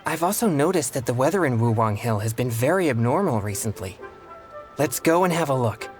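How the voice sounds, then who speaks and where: A man voicing an earnest, polite teenage boy exorcist in a video game, with formal, measured phrasing. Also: mid-range voice, animated, soft background music, lightly acted. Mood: thoughtful, focused.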